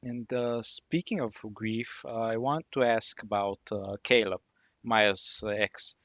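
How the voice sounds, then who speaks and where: A man co-hosting a podcast, asking questions in a measured, halting way with many uhs. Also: on the low side, fairly even intonation, fairly bright tone, no room sound. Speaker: podcast co-host. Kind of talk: casual podcast interview questions.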